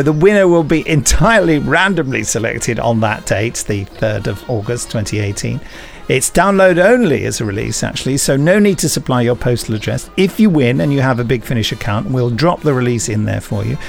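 A man's voice: medium pitch, gravelly. A man co-hosting a podcast in casual comic chat, playful and fond of wordplay. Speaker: podcast co-host.

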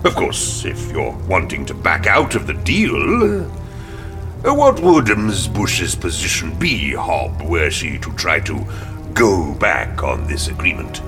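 An actor playing a smug, menacing businessman in an audio drama, unhurried, condescending and mock-polite. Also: deep voice, big pitch swings, music in the background, full character voice. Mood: smugly satisfied.

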